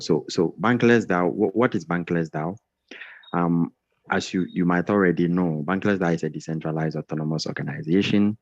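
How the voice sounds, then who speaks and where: Deep-voiced man hosting a video call. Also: a little mellow, no room sound. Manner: repetitive, earnest, restarts phrases. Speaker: onboarding session host. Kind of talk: welcoming group presentation on video call. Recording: dull, dry video call audio.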